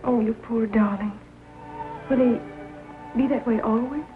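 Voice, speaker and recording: A woman's voice: a little high, fairly even intonation, unhurried, music in the background, close to an everyday voice. An actress playing a tender, distressed young woman, emotional and pleading in a 1940s film melodrama. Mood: worried, pitying. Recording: narrow-band 1940 film soundtrack.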